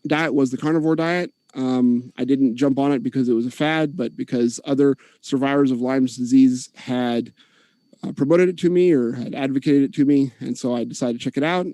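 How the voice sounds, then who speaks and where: A man earnestly giving his opening statement in an online debate, steady with occasional fillers. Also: mid-range voice, no room sound, low-quality recording.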